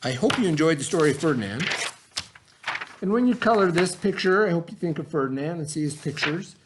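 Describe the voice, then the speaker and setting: A man with a slightly high-pitched voice speaks as a teacher to young children. He reads and talks in an expressive way. His phrasing is simple and addressed straight to his young listeners. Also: brisk, background noise. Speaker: teacher reading aloud. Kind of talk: children's story read-aloud, then informal talk to children.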